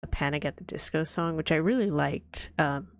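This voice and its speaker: A woman streamer with a cold chats softly and rambles, her voice hoarse and scratchy, giggling and coughing often. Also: moderate pace, no echo. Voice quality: deep, scratchy voice. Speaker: vtuber streamer. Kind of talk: soft-spoken casual stream chat.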